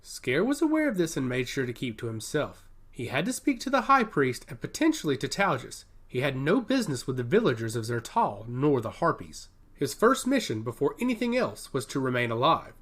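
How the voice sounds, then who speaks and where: A man's voice: mid-range voice, huge pitch swings, brisk, fairly bright tone. A man narrating a scripted fantasy story as an audiobook.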